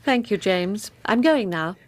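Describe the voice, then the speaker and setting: An actress playing a pious, composed colonel's wife in a radio drama, genteel and formal. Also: female voice, medium pitch, expressive, a little slow. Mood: polite, composed.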